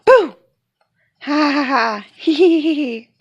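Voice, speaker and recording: A woman voicing an excitable, playful young female pig from a children's storybook, full of exclamations. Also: sits high, slow, muffled recording, voice pushed up, exaggerated voice. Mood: gleeful.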